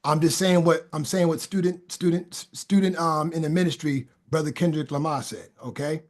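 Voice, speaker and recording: High-voiced man preaching fervently. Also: steady tone, a little fast, darkish tone. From Boston, USA. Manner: stammering restarts, repeats phrases for emphasis. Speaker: podcast guest. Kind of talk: casual talk building into fervent preaching.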